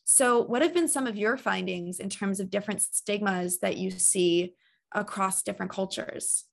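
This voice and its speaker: Creaky-voiced woman interviewer. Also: mid-range voice, moderate pace. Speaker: interviewer, mental health trainer. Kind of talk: conversational interview hosting.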